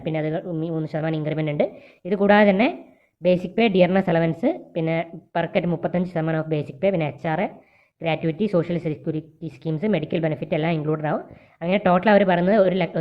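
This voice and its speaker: A woman's voice: lowish voice, highs cut off. A woman with a Kerala Malayali accent presents an informational explainer, reading out details in a matter-of-fact way. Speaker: presenter of job vacancy videos.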